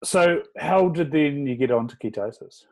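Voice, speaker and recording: A man's voice: fairly high pitch, moderate pace. A man hosting a podcast, asking questions in an easygoing, casual way. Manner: easygoing questions and agreeing remarks. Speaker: podcast host. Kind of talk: casual interview chat.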